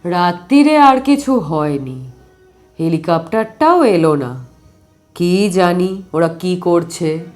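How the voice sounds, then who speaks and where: A woman voicing a commanding, calm older uncle in an audio adventure story, speaking firmly. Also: on the low side, takes it slowly, faint music behind, pitched below the performer's own voice. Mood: brooding. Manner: firm, instructing.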